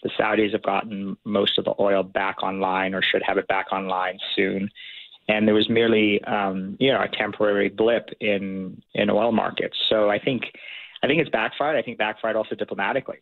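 A man giving measured, policy-focused analysis as a guest in a radio interview, heard over a phone line. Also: lowish voice, steady tone, vocal fry, no echo.

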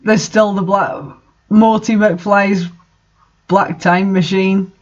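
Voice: mid-range voice, level tone, slow, lo-fi sound. A host reading fan fiction aloud in an amateur dramatic style, with the odd aside.

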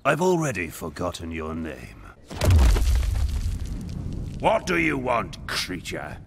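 Video game villain: haughty fallen god, menacing, slow, swooping delivery. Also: male voice, sits low, wildly swooping pitch, noisy background, exaggerated voice. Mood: contemptuous. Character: fallen elder god, arrogant male dark lord sorcerer.

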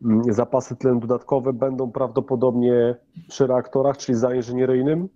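Very dark-voiced man as game master. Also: mid-range voice, flat delivery, normal speed, highs cut off. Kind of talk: answering players in a tabletop game.

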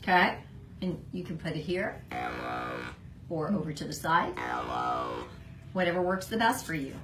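A middle-aged woman gently encouraging and instructing a young child, like a therapist showing how a device works. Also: lowish voice, moderate pace, music in the background. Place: small indoor clinic room. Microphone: handheld phone camera at short distance. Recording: roomy, noisy phone video recording.

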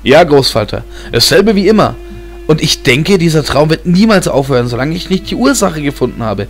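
A man's voice: a little high, sing-song, fairly quick, faint music behind. A man voicing an earnest, impatient, determined boy in an audiobook, with an insistent manner. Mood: weary resignation. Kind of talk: audiobook character acting.

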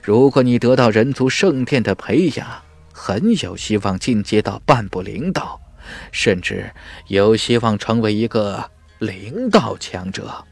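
A man's voice: lowish voice, lively intonation, unhurried, creaky, no room sound, faint music behind, heavily acted. A man voicing a genial, well-informed young male warrior in an audiobook, explaining things affably.

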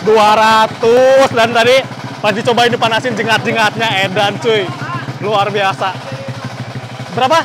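A young man hosting a casual motorcycle vlog, excitable and full of slang, talking into a handheld mic outdoors at a race circuit. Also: high voice, measured pace. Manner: excitable, slangy, lots of exclamations. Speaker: motovlogger host. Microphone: handheld mic, camera close. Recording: noisy outdoor circuit with engines.

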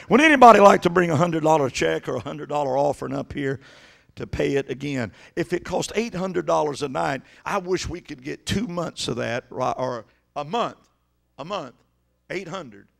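A middle-aged man preaching an impassioned Pentecostal sermon into a handheld microphone, exhorting and swinging between conversational asides and shouts. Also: sits high, gravelly. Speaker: evangelist preaching. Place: church sanctuary stage at a pulpit.

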